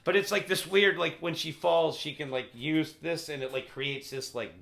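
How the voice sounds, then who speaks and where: A man's voice: a little high, fairly even intonation, normal speed, creaky, fairly bright tone. A man co-hosting a podcast, chatting casually about movies in a rambling, informal way full of filler words. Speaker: podcast co-host. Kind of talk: casual movie review chat.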